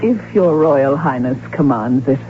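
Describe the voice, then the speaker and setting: An actress playing a witty, outspoken countess with a formal, precise manner, in the style of radio drama. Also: female voice, extremely low pitch, lively intonation, unhurried, background noise. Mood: guarded, formal. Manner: formal, arch, precise diction. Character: witty, outspoken countess from an impoverished noble house. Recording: muffled old radio broadcast, music behind. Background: music.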